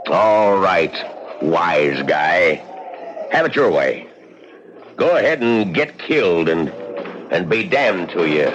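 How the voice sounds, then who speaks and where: A gruff small-town sheriff. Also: male voice, low voice, animated, slow, pauses often, fairly bright tone, a little hiss or hum, full character voice. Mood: stern. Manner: stern, warning. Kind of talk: 1950s radio acting. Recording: dull old radio recording.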